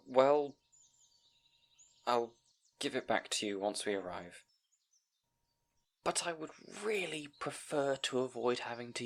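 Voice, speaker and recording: A man's voice: medium pitch, a bit bright, heavily acted. A man playing a timid, bookish young scribe in a fantasy audio roleplay, hesitant and apologetic, rambling and stammering. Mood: alarmed, flustered. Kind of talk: audio roleplay acting addressed to the listener.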